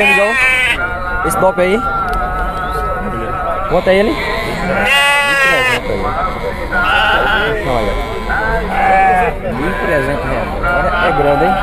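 A man's voice: sits high, heavy background noise. A man walking through an outdoor livestock market, chatting with sellers and repeating their prices to camera. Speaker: vlogger touring a livestock fair. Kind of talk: walk-around market vlog, asking prices. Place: crowded outdoor animal market.

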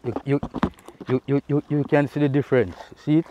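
A man's voice: mid-range voice, fairly even intonation, a little fast, dull audio, a little hiss or hum. A middle-aged man with a Jamaican accent, casually explaining a task outdoors by a river and saying "you know" a lot. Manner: easygoing, repeats "you know" often. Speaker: vlogger. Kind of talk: casual how-to vlog.

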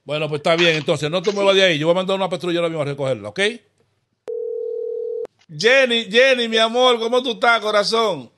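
A man's voice: sits high, fast, sharp tone. A man making a prank phone call, posing as an official in an insistent, accusatory way and repeating himself. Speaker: prank caller, show host. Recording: noisy recorded phone call.

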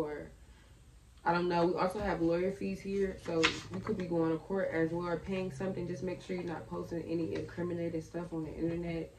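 A young woman with a slightly raspy, low voice chats through a tarot reading in a loose, slangy way. Her delivery stays fairly flat. The sound is roomy and picked up from a little way off. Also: slight background noise. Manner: chatty, slangy, thinking aloud. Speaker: tarot reader. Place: bedroom at a table. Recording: roomy, a bit far from the mic.

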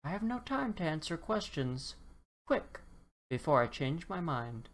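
A woman voicing a small, brisk, impatient male leprechaun in a children's storybook, with a knowing manner. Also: low-pitched, big pitch swings.

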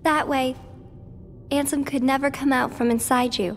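A woman playing a gentle, solemn teenage girl in a video game cutscene, speaking with measured care. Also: high voice, fairly even intonation. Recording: reverberant hall, faint background noise.

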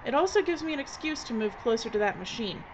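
A woman's voice: on the high side, expressive, quick pace, a little mellow, lightly acted. Woman acting a bossy director, muffled audio. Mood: brisk, bossy. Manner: bossy, wry. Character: brisk, sardonic, no-nonsense female film director. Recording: dull, slightly noisy recording.

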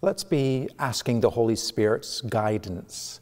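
A middle-aged man, preaching a sermon in a church sanctuary, animated and gesturing, often restarting his phrases. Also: medium pitch, measured pace. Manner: animated, gesturing with both hands, frequent restarts. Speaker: pastor. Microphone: camera at medium distance. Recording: long reverb of a church hall.

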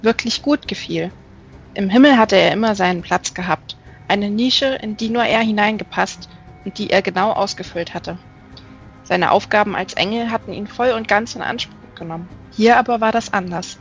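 A woman reading literary narration as an audiobook, measured and careful. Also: mid-range voice, gravelly, muffled recording. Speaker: audiobook reader. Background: music.

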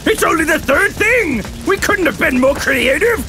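Man doing a high-pitched blustering anime judge. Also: lively intonation, normal speed. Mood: exasperated. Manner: pompous, shouty. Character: blustering, pompous male judge, easily exasperated. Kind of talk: anime parody dub.

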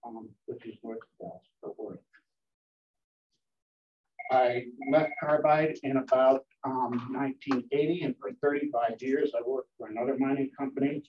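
Older man speaking hesitantly, far from mic. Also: mid-range voice. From Connecticut. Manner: hesitant, many ums. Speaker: board candidate. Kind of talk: candidate introduction at a meeting. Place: meeting room. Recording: dull distant room mic.

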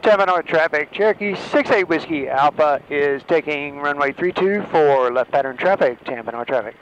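A man's voice: fairly high pitch, normal speed. A man making clipped, formulaic traffic calls over an aircraft radio. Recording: dull lo-fi radio with engine noise.

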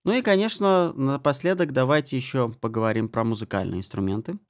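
A man giving a spoken software tutorial as an audio mixing tutor, explaining settings step by step. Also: medium pitch, brisk. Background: music and guitar samples.

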